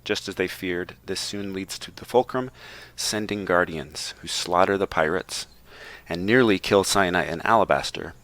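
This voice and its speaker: A man's voice: sits low, moderate pace, a bit bright, dry recording. A man on a podcast reading a prepared book summary aloud, with a wry, tongue-in-cheek delivery and joking asides.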